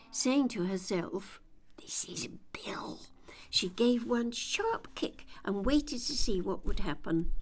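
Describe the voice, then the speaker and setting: An older woman reading a children's story aloud as an expressive audiobook narrator. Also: medium pitch.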